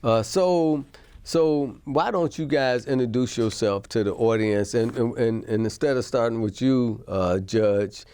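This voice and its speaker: A man's voice: mid-range voice, unhurried, a little mellow, clean tone, no room sound. A man hosting a talk show who speaks in a casual, rambling way and often asks "right?" as he talks.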